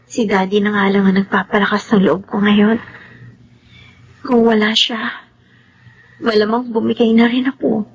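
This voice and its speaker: A woman acting a wounded, emotional young heiress and daughter in a Filipino radio drama, with a husky voice. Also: mid-range voice, little pitch movement, moderate pace, long pauses, muffled recording. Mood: sorrowful. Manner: plaintive, emotional.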